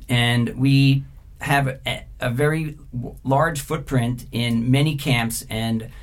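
A middle-aged man answering interview questions thoughtfully, pausing often with fillers. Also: mid-range voice, steady tone. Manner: thoughtful, halting, many filler pauses. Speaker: interview guest, former journalist.

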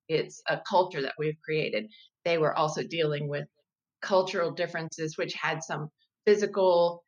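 A woman in her fifties speaks as an interview guest, her voice sitting a little low. She explains things in a measured, thoughtful way and sometimes restarts a sentence while she thinks. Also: muffled recording. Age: 50s. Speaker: guest, diversity educator. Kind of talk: conversational interview.

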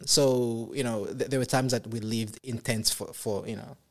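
A young man from Congo, a podcast guest, talking in a casual interview, soft-spoken and halting, with many fillers and restarts. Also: medium pitch, fairly even intonation.

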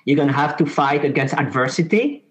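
A man's voice: a little high, expressive. French-accented man, muffled sound. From Mauritius. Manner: thoughtful, many "uh" pauses. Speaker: sales professor, guest. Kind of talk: interview answers.

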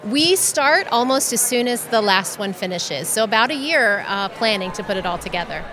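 A woman's voice: mid-range voice, animated, moderate pace, crowd noise. A woman event organizer speaking with bubbly enthusiasm in an interview. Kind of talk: interview answers and stage presentation.

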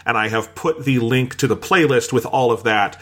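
A man's voice: medium pitch, normal speed, fairly bright tone. A man hosting a podcast in a conversational style, introducing the show and guests. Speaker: podcast host.

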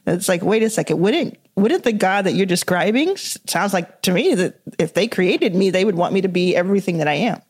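A woman's voice: mid-range voice, lively intonation, a little fast, hardly any pauses, slightly rough. A woman hosting a podcast interview, thinking aloud in a casual, searching way with lots of "like" and "you know.". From Dallas, Texas, US. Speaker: podcast host.

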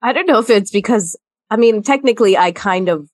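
A woman co-hosting a podcast, talking in a measured, earnest way. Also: medium pitch, no room sound, clean studio sound. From Guyana. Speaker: podcast co-host. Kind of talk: casual chat.

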